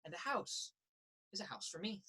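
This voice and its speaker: A woman reading a children's picture book aloud in a sing-song, rhythmic way, with a slightly husky voice. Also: medium pitch, expressive, moderate pace, bright, forceful tone. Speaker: story reader. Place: indoors in a children's library.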